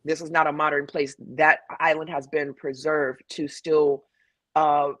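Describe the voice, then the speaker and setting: A woman's voice: sits low, level tone, unhurried, no room sound. A woman chatting casually as a panel guest over a video call, blunt and emphatic, often profane. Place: home in Hawaii.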